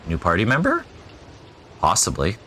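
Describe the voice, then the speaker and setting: A man's voice: deep voice, huge pitch swings, moderate pace, pushed and bright, a little hiss or hum. A young man casually commenting while playing a retro role-playing game, musing aloud with wry asides and reading the game text. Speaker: gaming channel host. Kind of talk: casual let's play commentary.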